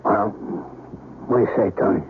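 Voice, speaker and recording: A male actor as a streetwise blackmailer in a vintage radio crime play. He speaks in a low register, clipped and cagey, always calculating. The sound is narrow and hissy, like an old radio tape. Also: moderate pace, close to an everyday voice. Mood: assured. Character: smooth, calculating male blackmailer and restaurant owner. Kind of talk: old-time radio crime drama acting.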